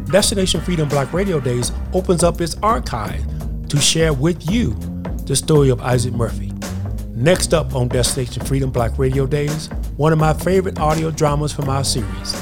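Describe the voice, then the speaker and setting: A man hosting a podcast, giving a measured, informative scripted introduction. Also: fairly high pitch. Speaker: podcast host and producer. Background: music.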